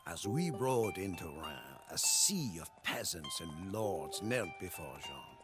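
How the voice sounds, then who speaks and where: Weary French soldier, low creaky voice, solemn game narration, dramatic swings in pitch, music behind. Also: male voice, very animated, exaggerated voice. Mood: reverent. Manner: solemn, dramatic, measured delivery. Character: weary, devoted French soldier recounting the war. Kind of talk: scripted dramatic narration, like a war journal. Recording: loud music under the voice.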